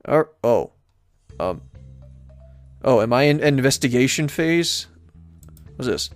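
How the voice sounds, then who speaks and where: A man giving casual video game commentary, thinking aloud in a rambling, halting way as he plays and reads from the screen. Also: mid-range voice, expressive, faint music behind. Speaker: let's-play host.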